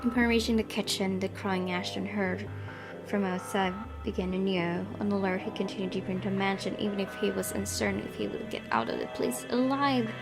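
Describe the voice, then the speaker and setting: A woman's voice: medium pitch, fairly quick, vocal fry. A young woman streamer reading a horror visual novel aloud, breaking off for chatty asides. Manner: reads narration with chatty asides. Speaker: gaming streamer. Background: loud game music.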